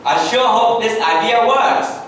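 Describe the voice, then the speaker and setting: A man's voice: very high-pitched, measured pace, fairly bright tone. A male reader playing an earnest schoolboy in an amateur reader's theatre performance. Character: Mark, earnest schoolboy standing up to bullies. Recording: very echoey room, distant mic, faint noise.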